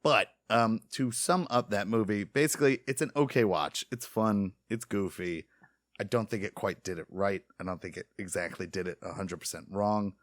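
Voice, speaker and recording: Low-voiced man chatting, dry recording. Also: moderate pace, dry recording. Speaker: podcast host leading the discussion. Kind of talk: casual chat.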